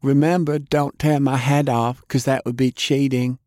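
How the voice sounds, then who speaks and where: Spunky young farm girl, played by a male narrator, pitched up, teasing and folksy, audiobook action fiction. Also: level tone, normal speed, darkish tone, no room sound, studio quality, close to an everyday voice. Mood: gleeful taunting. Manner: cheeky, taunting, folksy. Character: skinny country girl, spunky, taunting young fighter.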